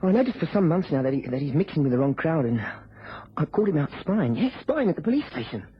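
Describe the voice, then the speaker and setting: Animated, anxious young English constable. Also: male voice, fairly high pitch, fast, husky, heavily acted. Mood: worried. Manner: hesitant, earnest, deferential. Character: anxious, earnest young male police constable. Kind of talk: vintage British radio drama acting. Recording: muffled, noisy old radio recording.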